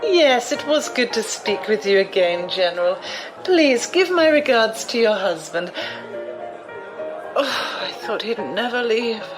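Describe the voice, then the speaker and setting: A woman's voice: fairly high pitch, very animated, a little slow, music in the background, poor audio. An actress playing a sly, amused, commanding old noblewoman in an audio drama, with refined, teasing, theatrical delivery. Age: older.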